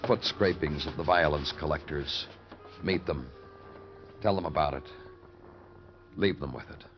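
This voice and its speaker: An actor playing a hardened New York homicide detective, narrating in terse, poetic fragments in a 1950s American radio drama style. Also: male voice, a bass voice, unhurried. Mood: grim, weary. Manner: terse, clipped fragments, poetic and world-weary. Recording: muffled old radio recording with music.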